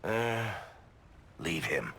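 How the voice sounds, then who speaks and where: A man's voice: sits low, sing-song, sharp tone, slight background noise, heavily acted. A man playing a wisecracking, fond dwarf rogue in a video game, rambling and jovial. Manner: rambling, slow, jovial toasting. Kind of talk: video game cutscene acting.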